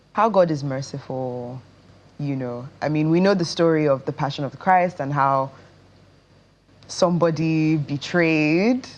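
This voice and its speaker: A woman with a Nigerian accent speaking as a guest in a casual interview, explaining things in a measured way. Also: low voice, a little mellow. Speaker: interview guest, stage actress.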